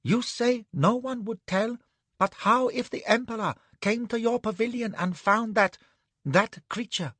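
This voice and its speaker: A man voicing a frantic, squealing man, a eunuch at a palace, high-pitched and near tears. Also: a little slow, fairly bright tone, no echo, heavily acted. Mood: frantic pleading.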